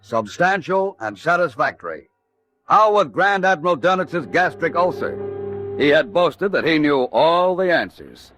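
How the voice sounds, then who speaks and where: An actor playing a solemn, questioning narrator in a wartime documentary radio drama. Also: male voice, a little high, very animated, a little slow, fairly bright tone, faint music behind. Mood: pointed, wry.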